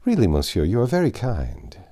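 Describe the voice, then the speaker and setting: A man's voice: lowish voice, wildly swooping pitch, a little fast, mellow. A man voicing a voluble, proud and trusting French servant in a storybook, chatty and emphatic. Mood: chatty, polite.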